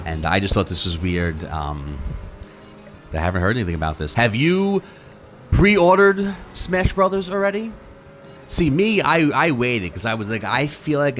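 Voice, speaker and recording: A man's voice: medium pitch, fairly bright tone. A man talking casually and conversationally to his viewers as a video host, rambling and acting out imagined reactions. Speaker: YouTube host.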